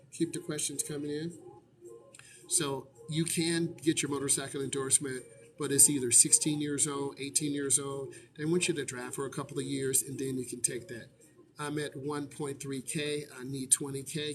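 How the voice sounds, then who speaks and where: A chatty man in an even middle register talks through viewer questions like a driving instructor on a live stream. His delivery is loose and conversational, full of little fillers. A faint hiss of background noise sits under the voice. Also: mid-range voice, normal speed.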